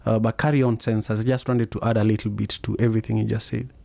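Man, co-host and organizer: relaxed mellow tone, level pitch, halting informal explanations. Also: gravelly, dry recording. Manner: halting, pads phrases with "you know". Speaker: group trip organizer and co-host.

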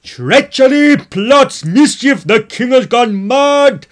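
A man voicing a furious, excitable monarch in a storybook, yelling. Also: very high voice, takes it slowly, pitched above the performer's own voice, heavily acted. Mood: frantic, furious. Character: furious foreign monarch yelling about treachery and plots.

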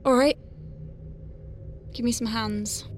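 A woman playing a flustered but awestruck space medic in an audio drama, chatty, nervy and expressive as she talks through her work. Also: a little high, slow, slight background noise. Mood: focused, wry. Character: young female space medic Faye, flustered but awestruck, working inside star whale. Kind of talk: audio drama acting with first-person narration.

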